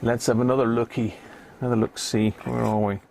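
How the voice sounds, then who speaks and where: A man's voice: medium pitch, level tone, fairly quick. A man giving casual live commentary on an electronics repair, muttering to himself as he concentrates on fiddly work. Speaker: amateur radio hobbyist hosting a live repair stream. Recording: clear background noise.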